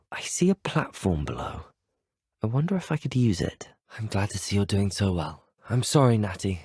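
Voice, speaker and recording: An actor playing an earnest, courteous young male wizard student in a video game, polite and well-spoken, with a British accent and a smooth voice. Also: lowish voice, darkish tone, no echo, clean studio sound. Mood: curious, then warm, reassuring. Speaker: player character in a video game. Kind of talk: scripted video game voice acting.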